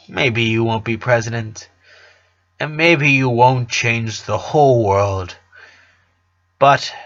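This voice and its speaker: A man's voice: mid-range voice, sharp tone, highs cut off, full character voice. A man reading the part of an earnest, sentimental middle-aged father in a story, explaining things in a heartfelt way.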